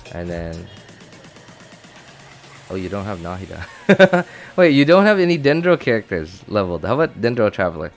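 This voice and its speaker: A man giving casual, chatty live gameplay commentary as a streamer, thinking out loud and reacting as he goes. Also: lowish voice, gravelly. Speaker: game streamer.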